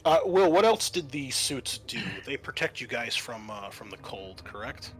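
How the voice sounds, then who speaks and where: A man's voice: mid-range voice, big pitch swings, moderate pace, husky. A man acting as game master, explaining a scenario and thinking aloud as he recalls the rules.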